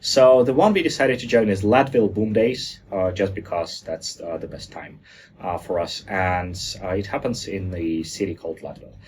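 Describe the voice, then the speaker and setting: Guy from germany doing a jokey talk, lowish voice, um and uh a lot. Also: normal speed, a little mellow, slight background noise. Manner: jokey, lighthearted, with hesitant fillers. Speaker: speaker giving a talk. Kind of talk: recorded presentation talk.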